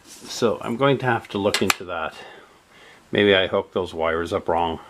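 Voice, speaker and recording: A man narrating a step-by-step radio repair in a halting way, with many fillers. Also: deep voice, a bit bright, a little hiss or hum.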